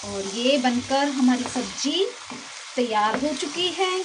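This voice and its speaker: Home-cook host, female, higher-pitched, steady step-by-step delivery, kitchen sizzle audible. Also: slow pace. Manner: plain, steady explaining of each step. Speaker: cooking channel host. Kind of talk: step-by-step cooking narration. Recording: clear kitchen cooking noise. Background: sizzling and stirring in a pan.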